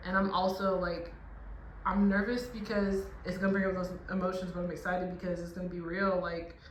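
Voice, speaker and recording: A woman's voice: lowish voice, little pitch movement, brisk. American woman chatting in an echo-heavy, noisy room. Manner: informal, reactive interjections. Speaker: podcast co-host reacting to stories. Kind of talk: casual chat. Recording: very echoey room, distant mic, clear noise.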